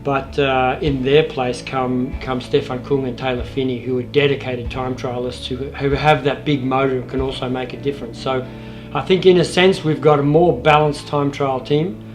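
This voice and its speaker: A man, a cyclist giving an interview, speaking in a measured way and often repeating words as he restarts. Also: medium pitch, flat delivery, faint music behind. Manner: measured, with frequent word repeats.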